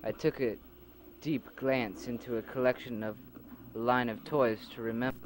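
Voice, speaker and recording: A man narrating his own amateur audio drama in a soft, reflective storytelling voice. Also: mid-range voice, fairly even intonation, unhurried, slight background noise. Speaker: narrator and creator.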